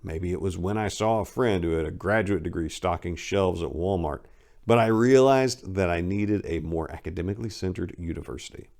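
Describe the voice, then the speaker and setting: A man's voice: low voice, a little fast, vocal fry, a little mellow. An American man giving a dramatic reading of a comic first-person memoir in a wry, self-deprecating, conversational way. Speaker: memoir narrator.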